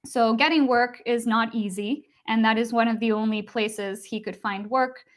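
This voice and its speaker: A woman's voice: a little high, fairly even intonation, moderate pace. A woman from Costa Rica arguing the vegan side in an online debate, explaining earnestly with frequent fillers.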